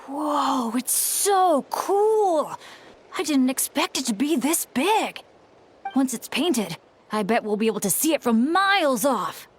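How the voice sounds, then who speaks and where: A woman's voice: sits high, big pitch swings, takes it slowly, a little hiss or hum, exaggerated voice. A woman playing a sunny, enthusiastic young adventurer girl in a video game, upbeat and friendly, with music behind. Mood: excited.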